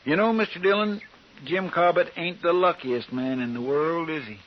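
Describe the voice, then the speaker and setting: An actor playing an earnest, naive deputy sidekick with a rural American drawl, chatty and deferential, in old radio western style. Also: male voice, on the high side, moderate pace, highs cut off, lightly acted. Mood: chatty, wistful. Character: earnest, simple, folksy deputy sidekick Chester. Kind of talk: 1950s radio western acting. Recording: noisy vintage radio recording.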